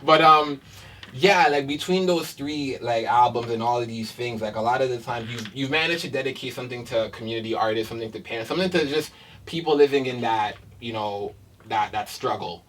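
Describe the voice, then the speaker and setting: A man's voice: mid-range voice, a little fast, a bit bright, distant mic, slight background noise, poor audio. A man interviewing a guest in a casual, slangy, laid-back way, often laughing.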